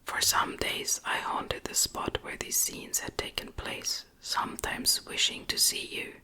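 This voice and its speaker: A woman reading a gothic novel as an ASMR narrator in a soft, breathy, gentle whisper. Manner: slow, gentle, deliberate reading. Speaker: audiobook narrator.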